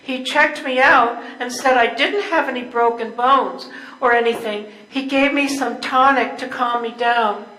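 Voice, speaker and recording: An older woman playing a lonely, neglected wife in an amateur stage reading, plaintive and deliberate. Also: fairly high pitch, sharp tone. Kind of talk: amateur readers theater play. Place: indoor live stage reading. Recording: echoey room.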